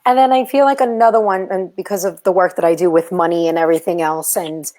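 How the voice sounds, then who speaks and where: A woman's voice: lowish voice, steady tone, fast talker, a little mellow. A woman with a Brooklyn accent hosting a casual interview, asking long, rambling, encouraging questions. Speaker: host.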